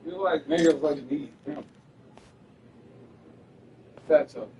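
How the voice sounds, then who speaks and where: A man's voice: fairly high pitch, a little slow, far from the mic, a little hiss or hum, rough recording. A young man joking and swearing in casual banter with a friend who is gaming. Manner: loud, joking, profane banter. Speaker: friend watching the game. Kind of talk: casual chat.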